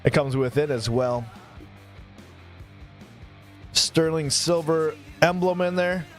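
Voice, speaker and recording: A man in his thirties talks loosely through a live stream, drifting from topic to topic and greeting viewers by name. His voice sits a bit high for a man. Light music plays faintly behind him. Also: slow. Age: 30s. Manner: rambling, relaxed, thanks viewers by name. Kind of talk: casual live-stream chat.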